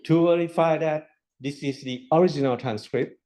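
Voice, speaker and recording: A man's voice: a little high, normal speed, a little mellow. A man lecturing a university class, explaining step by step with rhetorical questions and repetition. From Thailand.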